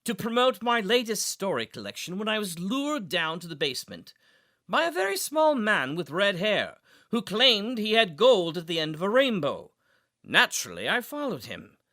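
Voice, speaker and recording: Pompous, high-pitched old-fashioned writer. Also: male voice, very animated, normal speed, sharp tone, full character voice. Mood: wistful, explanatory. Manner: pompous, florid, theatrical. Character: pompous, courtly male mid-century fantasy writer, droll, indignant. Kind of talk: comedic audio drama acting.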